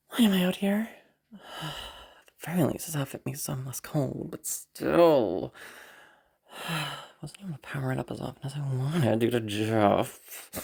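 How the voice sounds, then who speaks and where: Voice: mid-range voice, creaky, darkish tone, breathy edge, heavily acted. A performer voicing a proud, frustrated young woman inventor out hunting, muttering to herself with sighs and swearing in a dramatic audio roleplay. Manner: muttering to self, sighing, sweary self-argument.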